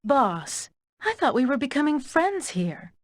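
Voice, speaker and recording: A woman playing a sly, confident young villainess who coaxes and flatters like a cool schemer. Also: sits high, measured pace, no echo, studio quality. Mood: coaxing flattery. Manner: coaxing, confident.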